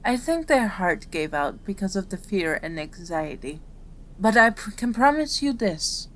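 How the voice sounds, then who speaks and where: A kind kitsune doctor, acted by a woman in an audio roleplay, speaks at an unhurried pace in a medium-pitched voice. She is caring and reassuring, talking straight to her patient. Background ambience runs under the voice. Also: sing-song, slight background noise, low-quality recording. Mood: gentle, reassuring. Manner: soft-spoken, reassuring, addressing a patient directly. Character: gentle, caring female kitsune doctor, aging fox spirit. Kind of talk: ASMR roleplay voice acting.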